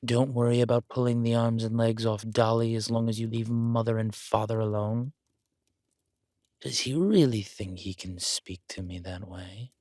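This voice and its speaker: A man playing a controlled, vengeful villain king who speaks with measured, quiet menace in an intimate audio roleplay. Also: medium pitch, fairly even intonation, dry recording, clean studio sound, close to an everyday voice. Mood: seething.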